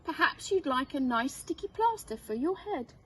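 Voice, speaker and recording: A woman's voice: high voice, expressive, moderate pace, a bit bright, voice pushed up. A woman voicing a kind, resolute little princess in a children's storybook, caring and plain-spoken. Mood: kindly. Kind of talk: children's storybook read-aloud. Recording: distant mic, faint noise.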